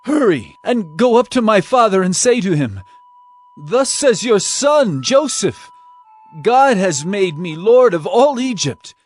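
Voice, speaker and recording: A man's voice: high voice, very animated, slow, darkish tone, faint music behind, heavily acted. Joseph, a man voicing an earnest Egyptian ruler. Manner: earnest, pleading. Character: Joseph, young adult man, emotional ruler. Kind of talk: dramatized scripture reading.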